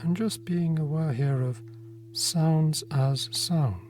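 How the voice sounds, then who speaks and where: A man narrating a guided meditation in a gentle, unhurried manner, leaving long pauses between phrases. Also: fairly high pitch, a little hiss or hum. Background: meditation music with singing bowl tones.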